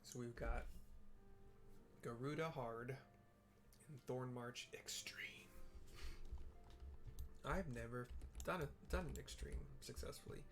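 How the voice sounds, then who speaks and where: A man with a gravelly, creaky voice narrates his video game play in a casual way. His remarks are wry and come in halting pieces. Game music plays clearly behind him. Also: mid-range voice, a bit bright. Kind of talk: casual gameplay commentary.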